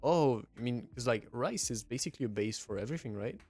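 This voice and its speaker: A man's voice: medium pitch, animated, quick pace, a little mellow, breathy edge, faint music behind. A young man with a French accent chatting casually on a livestream, hesitant and full of fillers and restarts. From southwest France. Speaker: streamer. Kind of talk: casual livestream chat, reading chat aloud.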